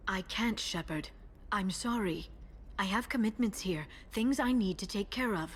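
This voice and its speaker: A woman playing a guarded, earnest alien information broker in a video game, speaking in a measured way. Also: mid-range voice, slightly rough. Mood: guarded, regretful. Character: guarded alien female information broker, earnest, quietly menacing when crossed. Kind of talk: video game dialogue acting.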